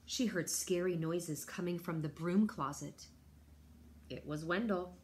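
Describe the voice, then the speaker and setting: A woman teacher reading a picture book aloud to children, expressive, with chatty asides to her young listeners. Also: on the low side, unhurried.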